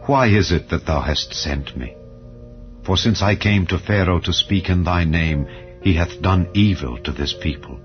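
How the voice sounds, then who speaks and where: A man's voice: low-pitched, a little slow. A man playing a troubled prophet in a dramatized scripture reading, earnest, solemn and questioning. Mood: troubled, pleading. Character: Moses, troubled male prophet pleading with God. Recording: dull lo-fi audio over music. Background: calm background music.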